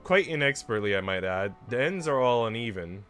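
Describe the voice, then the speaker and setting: A man voicing a tender, teasing young lady in a visual novel, speaking softly, formally and coyly. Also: medium pitch, animated, moderate pace, faint music behind, close to an everyday voice. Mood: teasing, gentle. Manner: soft, formal, coy. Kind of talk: visual novel character reading.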